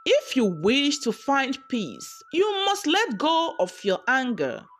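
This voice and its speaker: A woman voicing a serene, kindly older woman who gives gentle, wise counsel in a folktale. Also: high voice, huge pitch swings, slow pace, slight background noise, heavily acted. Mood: solemn counsel.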